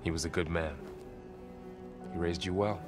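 A loyal young companion played by a man in a video game, with a very low, steady voice. He is gently teasing and supportive, with faint music underneath. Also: normal speed, close to an everyday voice. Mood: gentle, teasing. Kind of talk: video game dialogue acting.

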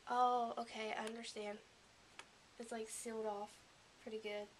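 A young woman with a regional American accent, gushing softly and emotionally as she unboxes a gift in a small room at home, filmed on a webcam. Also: a little high, flat delivery, moderate pace, a little hiss or hum. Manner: gushing, emotional, soft-spoken and rambling. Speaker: vlogger. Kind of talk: casual unboxing vlog.

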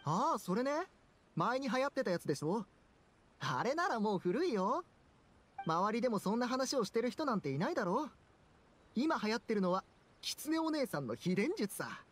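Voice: medium pitch, big pitch swings, normal speed. An actor playing a boastful, trend-savvy young townsman in a video game, casual, boyish and dismissive. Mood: smug. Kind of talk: scripted video game voice acting.

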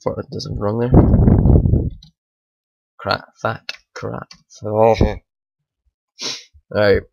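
A man, hoarse from a bad cold, rambling through casual let's play commentary at home. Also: lowish voice, little pitch movement, slow pace, no room sound, poor audio. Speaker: gaming channel host.

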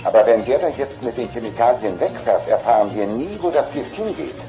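An actor playing a polite, prim talking computer car in an audio drama, formal and precise. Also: male voice, mid-range voice, animated, a little fast, dark tone, faint music behind, close to an everyday voice. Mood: concerned, precise.

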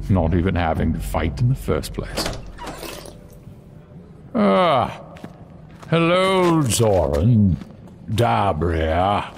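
A man playing a shrewd dragon counsellor in a fantasy audio drama, speaking in a deliberate, measured way with pauses. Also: lowish voice, vocal fry, darkish tone, exaggerated voice.

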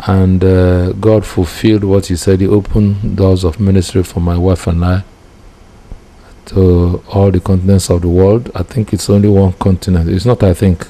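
A middle-aged Nigerian man with a Nigerian accent, a preacher giving personal testimony deliberately, phrase by phrase. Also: low-pitched, level tone, mellow. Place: indoor room with curtains. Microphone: microphone close in front. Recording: clear background noise.

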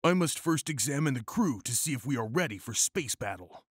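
A man puts on a macho cat hero from a comic fan story, full of pompous righteousness. The delivery is declamatory, with big swings in pitch and a dry, close sound. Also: lively intonation, a little fast, slightly rough, no echo. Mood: boastful. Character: macho, bombastic, lasagna-obsessed male cat hero, righteous and flirty.

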